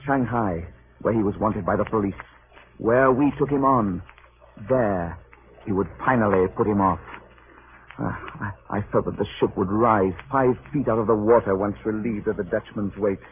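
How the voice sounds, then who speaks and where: A man's voice: fairly low pitch, moderate pace, phone-quality sound, natural voice. A man playing an earnest, harried sea captain in a 1930s radio drama, theatrical and imploring, on a scratchy old recording. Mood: weary, resolute. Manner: stammering, imploring, theatrically anguished.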